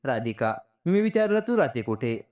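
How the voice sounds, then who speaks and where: A man's voice: mid-range voice, very animated, moderate pace, a little mellow, natural voice. A man voicing a curt, insistent male boss in a story. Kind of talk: storybook narration dialogue.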